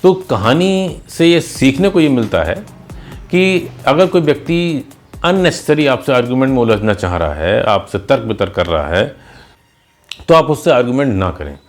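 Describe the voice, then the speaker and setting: A man's voice: mid-range voice, sing-song, normal speed, soft background music. A man narrating in a conversational, explanatory teacher's style, mixing in English words as he tells a moral story and gives advice.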